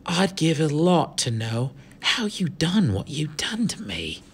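A man's voice: high voice, very animated, takes it slowly, creaky, a little hiss or hum, pitched above the performer's own voice, heavily acted. Storybook bully: slangy, menacing teenage lad leading a gang of skinheads, performed by an adult male narrator. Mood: muttering, suspicious. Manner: slangy, sneering, muttering and barking.

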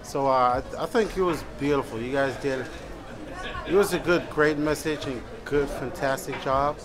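A man from South Sudan, an audience member, answering a short interview in halting, plain phrases in a busy theater lobby. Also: medium pitch. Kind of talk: casual interview. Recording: loud crowd chatter behind.

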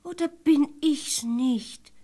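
A woman playing a naive, stubborn, whiny young peasant wife in a fairy-tale radio play, pleading in a drawn-out singsong. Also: high voice, warm tone. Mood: naive, dreamy.

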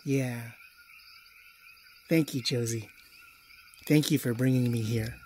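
A man's voice: medium pitch, steady tone, slow, background noise, natural voice. A man playing a wistful, grateful man from the Philippines in an audio drama.

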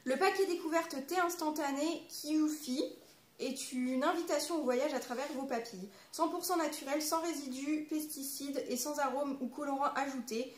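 High-pitched, chatty young French woman vlogger. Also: moderate pace. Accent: French from France. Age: 20s. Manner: chatty, informal. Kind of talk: casual chat with reading aloud. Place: small living room at home. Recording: roomy echo, clear background noise.

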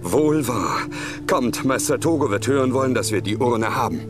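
Earnest fantasy game monk male actor, mid-pitched, polite and direct, with music underneath. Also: lively intonation, moderate pace, natural voice. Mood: earnest, courteous. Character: earnest, courteous warrior monk on a quest. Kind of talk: video game cutscene acting.

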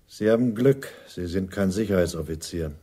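An actor playing a weary, gruffly reserved middle-aged security officer in a 1980s radio play, terse and formal. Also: male voice, sits low, expressive, normal speed, warm tone.

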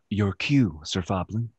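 A man acts a courtly rake in an old comedy of manners, on dry, slightly dull audio. His voice is lowish and moves expressively. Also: gravelly, darkish tone, slightly rough, no echo, full character voice. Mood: curt, dry. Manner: courtly, ardent. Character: smooth-talking male rake, ardent suitor. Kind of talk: classical stage comedy acting. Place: indoors at home, on a video call.

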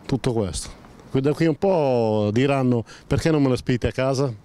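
A man in his sixties answering a street interview outdoors, halting and restarting words as he grumbles. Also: medium pitch, warm tone. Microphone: handheld mic held by interviewer.

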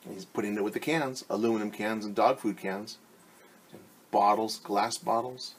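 A man's voice: on the low side, fairly even intonation, moderate pace, slight background noise. A man in his forties reading a picture book aloud as a teacher, stopping to explain things simply and ask the children questions. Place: living room at home, indoors. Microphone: device camera at about arm's length.

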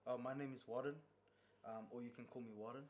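A man's voice: mid-range voice, fairly even intonation, quick pace, darkish tone, a little hiss or hum. A man from Africa, hesitant and joking in a casual group chat. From Cape Town, South Africa. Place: living room.

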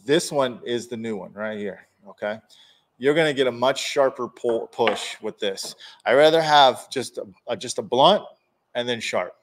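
A man's voice: mid-range voice, moderate pace. A man in his forties in a garage workshop, casually explaining dent repair tools to a live audience. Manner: casual, hands-on, chatty with viewers. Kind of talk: live-stream tool demonstration.

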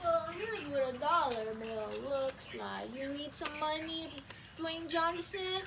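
A young woman rants at her phone in a small cluttered bedroom, swearing and confronting, pacing around as she speaks. Her voice is high and her delivery slow. The phone sits far from her and picks up clear background noise. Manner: profane, confrontational, restless, moves around while talking. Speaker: vlogger. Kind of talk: angry profane rant to camera. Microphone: phone camera, often far away.

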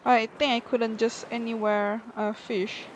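A woman's voice: fairly high pitch, level tone, unhurried, slight background noise, rough recording. A woman giving casual gameplay commentary, thinking aloud as she plays. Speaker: gaming video player.

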